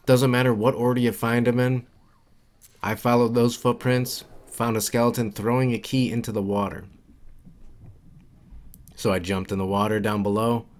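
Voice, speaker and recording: A man giving casual, instructional walkthrough commentary for a video game, informal and repeating his key points. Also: fairly low pitch. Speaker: game guide creator and narrator.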